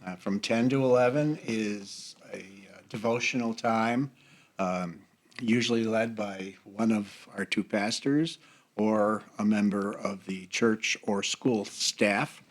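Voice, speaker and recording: An older man with an American accent, explaining things in a halting, measured way in a casual podcast conversation. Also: fairly low pitch. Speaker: church seniors' group member.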